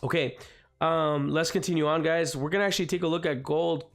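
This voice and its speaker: A man with a slightly raspy voice from the cold hosting a live trading stream, chatting casually and filling gaps with "guys" and "you know what I mean". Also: on the high side, fairly even intonation, a little fast. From Canada. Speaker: forex trading livestream host. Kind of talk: live market commentary. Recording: faint music behind.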